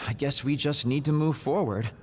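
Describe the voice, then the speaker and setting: A man's voice: mid-range voice, moderate pace, darkish tone, close to an everyday voice. Actor as easygoing guy in echoing hall. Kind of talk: video game voice acting. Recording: long echo, faint noise.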